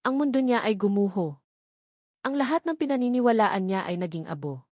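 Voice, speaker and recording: A woman narrates a scripted romantic drama. Her voice sits at a medium pitch and her delivery is emotive and melodramatic. The sound is dry, with little room in it. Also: expressive, brisk, a little mellow, no echo. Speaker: story narrator.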